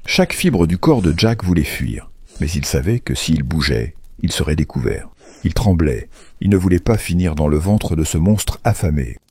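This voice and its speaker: A man narrating a children's fairy tale from a script in an expressive, playful storytelling manner. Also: very deep voice, moderate pace, husky, no echo.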